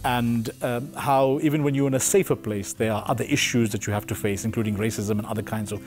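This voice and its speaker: A middle-aged man with a South African accent answers interview questions in an earnest, articulate way. His pitch sits in the middle range for a man. Music plays behind his voice. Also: steady tone, fast talker, darkish tone. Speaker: playwright and theatre director. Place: theatre auditorium and stage. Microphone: lapel mic.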